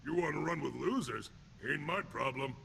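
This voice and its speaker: An actor playing a crude, sneering alien thug and ex-convict enforcer in a video game, taunting in blunt street-gangster slang with a rough voice. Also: male voice, on the high side, moderate pace, bright, forceful tone, a little hiss or hum, exaggerated voice. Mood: gruff boasting. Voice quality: gravelly, rough. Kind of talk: video game character acting.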